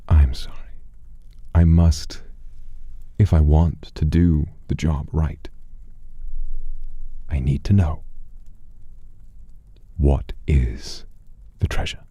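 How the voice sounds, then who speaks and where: A man voicing a sly, sarcastic, calculating demon negotiator in a told story, measured, hushed and theatrical. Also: rumbling low, steady tone, husky, no echo, heavily acted. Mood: earnest, curious. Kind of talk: acted dialogue within a story.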